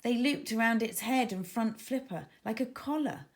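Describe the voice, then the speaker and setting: A woman reading her children's book aloud as a dramatic, suspenseful and expressive narrator. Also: fairly high pitch, normal speed, creaky. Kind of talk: expressive scripted story narration.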